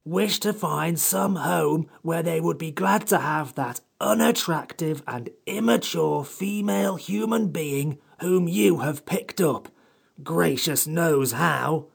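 A man voicing a haughty, complaining furry magical creature in a creaky, husky storybook character voice. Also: on the high side, slow pace, pitched above the performer's own voice, exaggerated voice. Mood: irritated, disdainful. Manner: crabby, pompous, disdainful.

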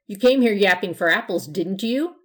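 A woman's voice: mid-range voice, expressive, a little slow. Woman playing a scowling, scolding grump of a man. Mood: angry, scolding. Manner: snorting, scolding, yapping delivery. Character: grumpy, suspicious, scornful man who begrudges trick-or-treaters. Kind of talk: storybook character voice in narration.

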